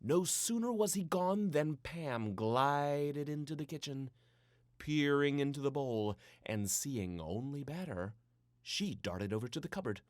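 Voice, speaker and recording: A man reading a scripted children's story in a playful, theatrical way with dramatic pauses. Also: a little high, animated, dry recording, clean studio sound. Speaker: storybook narrator.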